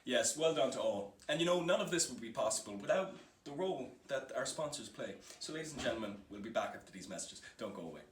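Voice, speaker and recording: A man acts out a formal, effusive showcase presenter. He talks fast with very animated pitch. The sound is echoey and a little distant from the microphone. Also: a little hiss or hum, natural voice. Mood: showy, formal. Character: polished, over-earnest male showcase TV presenter. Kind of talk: show presenting patter.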